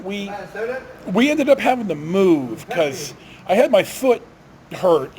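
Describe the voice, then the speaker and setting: American male, middle-aged, high voice with big swings, folksy salty storyteller, noticeable background noise. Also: poor audio. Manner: folksy, blunt, salty-tongued. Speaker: storyteller, vlog host. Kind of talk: rambling casual anecdotal storytelling.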